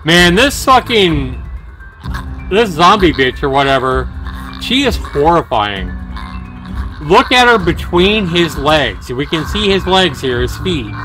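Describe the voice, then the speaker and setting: A man's voice: on the high side, low-quality recording. A man livestreaming a game, mixing commentary with dramatic reading aloud as host. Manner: profane, exclamatory reactions.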